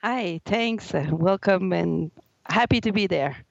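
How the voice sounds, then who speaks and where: A woman with a Québécois French accent, her voice gravelly with a fry at the edges and pitched a little low. She speaks English as a second language, pausing to search for words. The line is dull and lo-fi, dry and close. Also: no echo. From Quebec, Canada. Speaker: guest author. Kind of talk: casual interview talk.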